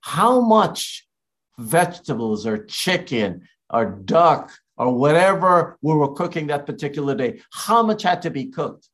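A man from Guyana, an evangelist, preaching a sermon in a slow, deliberate way with emphatic rises. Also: a little high, fairly bright tone.

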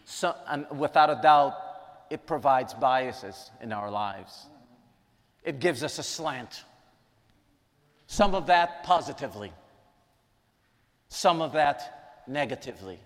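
A man's voice: medium pitch, lively intonation, slow, long pauses. A man from Guyana preaching a sermon and teaching a lesson, emphatic, repeating key lines for weight. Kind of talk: sermon with prayer. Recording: long reverb in a large hall.

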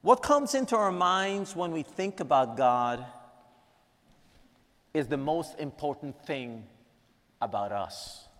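A man's voice: fairly high pitch, animated, takes it slowly, long pauses, a bit bright. A man from Guyana preaching a sermon and teaching a lesson, emphatic, repeating key lines for weight. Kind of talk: sermon with prayer. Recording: long reverb in a large hall.

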